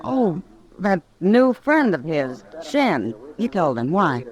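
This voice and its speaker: A woman's voice: on the low side, very animated, takes it slowly, a little mellow, highs cut off. A woman playing a sly, easygoing frontier gossip in an old-time radio western, with an American accent. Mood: curious.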